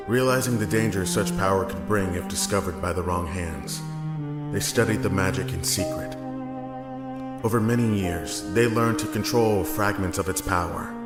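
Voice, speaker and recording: A fairly low male voice, wrapped in roomy echo, narrates an ancient fantasy legend. He speaks gravely and formally, as a scripted storyteller, while loud instrumental music plays beneath him.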